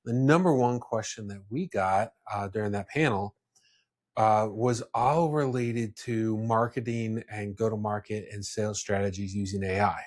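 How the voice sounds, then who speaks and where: A man's voice: fairly low pitch, normal speed. A man hosting a casual business discussion, speaking in a blunt, opinionated way. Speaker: podcast host.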